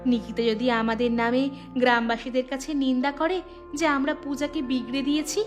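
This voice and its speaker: A woman playing a gentle village wife in a cartoon, talking in a homely, anxious way. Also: sits high, little pitch movement, moderate pace, faint music behind, lightly acted. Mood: worried. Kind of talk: Bengali cartoon dialogue acting.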